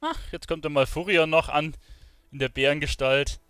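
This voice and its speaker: A man's voice: on the high side, moderate pace, a bit bright, clear, resonant tone, no echo. A man giving casual gameplay commentary as a streamer. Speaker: gaming streamer. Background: game music and sounds.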